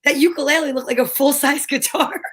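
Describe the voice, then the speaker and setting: A woman's voice: high-pitched, fairly even intonation, a little fast. A woman co-hosting a radio show in casual chat, enthusiastic and teasing.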